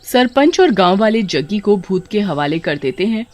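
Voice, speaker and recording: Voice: mid-range voice, big pitch swings, moderate pace, no echo, soft background music. A narrator reading a scripted horror tale for animation in a dramatic storytelling style.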